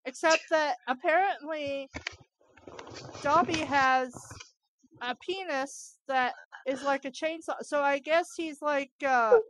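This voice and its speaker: High-pitched woman, incredulous and informal. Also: fairly bright tone, no room sound, rough recording. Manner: incredulous, informal, interjecting asides. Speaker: co-host reading fan fiction aloud. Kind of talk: read-aloud with baffled casual commentary.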